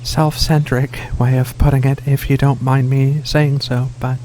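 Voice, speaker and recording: Gentle alien feline woman as acted by an ASMR performer: soft, very slow, hesitant, curious; ambient noise behind. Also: medium pitch, little pitch movement, lightly acted, rough recording. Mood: thoughtful, tender. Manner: hesitant, thoughtful, slow pauses between phrases. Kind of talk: ASMR roleplay.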